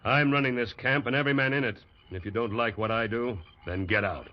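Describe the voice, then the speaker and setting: A man's voice: mid-range voice, fast, close to an everyday voice. Man acting a sneering camp boss, old radio sound. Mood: sneering, defiant. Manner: sneering, domineering. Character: arrogant, bullying logging camp boss. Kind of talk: 1940s radio drama acting. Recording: dull old 1940s radio recording.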